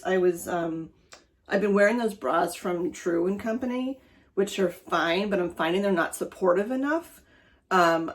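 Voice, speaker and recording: A middle-aged woman raised speaking German, talking in a rambling, chatty way for her personal vlog, with many fillers. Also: fairly low pitch. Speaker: vlogger.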